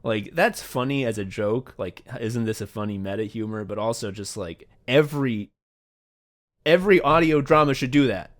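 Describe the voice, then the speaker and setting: A man's voice: mid-range voice, normal speed. A man chatting casually as a podcast host, rambling and swearing as he retells things and quotes himself. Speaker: podcast host and audio drama writer. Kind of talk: casual behind-the-scenes podcast chat.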